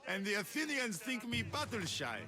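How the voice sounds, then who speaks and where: An actor playing a ruthless, proud Spartan general in a video game, speaking in a boastful, curt, imperious way. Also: male voice, sits high, sing-song, moderate pace, over music. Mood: grim, curt. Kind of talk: scripted video game acting.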